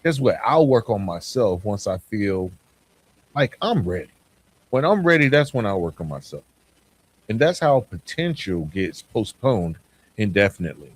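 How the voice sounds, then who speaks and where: A man hosting a motivational podcast monologue, speaking in a deliberate, emphatic way and putting questions to the listener. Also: lowish voice, sing-song, breathy edge. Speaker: podcast host.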